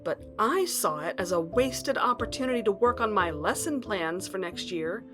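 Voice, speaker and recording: Actress as an earnest, determined wife, music behind. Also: female voice, mid-range voice, lively intonation, normal speed, lightly acted. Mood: reflective. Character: determined wife and academic searching for her missing husband. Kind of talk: audio drama acting and narration.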